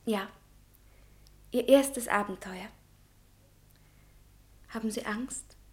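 An actress playing a sharp-witted, bold and protective young lady, assertive and knowing, in a German radio play. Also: on the high side, drawn-out words.

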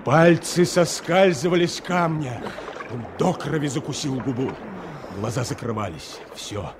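A man's voice: mid-range voice, expressive, unhurried, background noise, natural voice. A man acting as the storyteller of a radio drama, delivering scripted narration with dramatic feeling. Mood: grim, fading. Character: dramatic male storyteller of river adventure.

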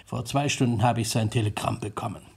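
An actor playing a shrewd, self-assured veteran detective, dry and politely probing, in an old German radio crime drama. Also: male voice, medium pitch, a little fast, creaky, a little mellow, breathy edge. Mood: wry, assured.

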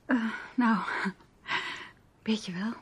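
An actress in a radio drama playing a brave young woman turned amateur spy, with a breathy voice. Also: a little high, exaggerated voice. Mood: nervous, tender. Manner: halting, repeats words, breathy.

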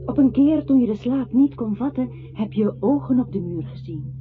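An actress in a radio play voicing a solemn, poetic narrator with a measured, literary delivery. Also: female voice, fairly high pitch, lively intonation, natural voice. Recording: thin phone-like radio sound, faint noise.

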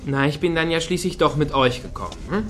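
A man's voice: a little high, brisk, fairly bright tone, slight background noise, close to an everyday voice. An actor playing an easygoing, somewhat reluctant male friend in a satirical radio play. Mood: wry. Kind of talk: German radio play dialogue.

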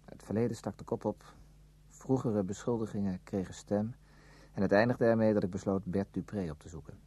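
A man acting in a radio play as a brooding, probing former student who tells his story in a measured, reflective way. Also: lowish voice, dark tone, husky. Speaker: narrator and lead character in a radio play. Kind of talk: scripted radio drama, literary first-person narration.

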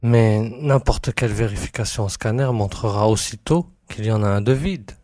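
Low-voiced sceptical space fugitive played by a man. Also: moderate pace, dark tone, no echo, clean studio sound, close to an everyday voice. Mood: sceptical, puzzled. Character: sceptical male space fugitive raising objections. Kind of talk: French amateur sci-fi audio drama acting.

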